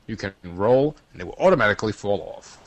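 A man's voice: fairly low pitch, animated, quick pace, no echo, rough recording. A man co-hosting a casual gaming talk show, speaking in a slangy, joking way and often repeating his words. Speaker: podcast co-host. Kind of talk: casual gaming chat, reading out listener ideas.

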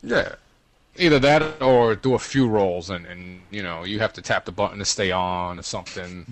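Deep-voiced man chatting casually. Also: moderate pace. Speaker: podcast co-host. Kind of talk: casual gaming chat, reading out listener ideas.

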